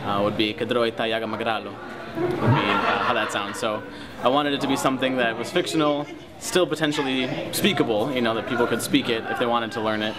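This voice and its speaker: A man's voice: mid-range voice, fairly even intonation, brisk. A young man giving casual interview answers with many ums, laughing easily. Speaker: interviewee, singer and language creator. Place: busy convention hotel. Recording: loud crowd noise. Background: loud crowd chatter.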